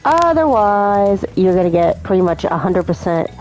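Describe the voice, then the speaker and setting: A woman's voice: mid-range voice, very animated, moderate pace, darkish tone, soft background music, poor audio. A woman in her thirties hosting a casual let's play, chatty and apologetic, her voice a little off from being sick. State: slightly off voice from illness. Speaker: gaming channel host.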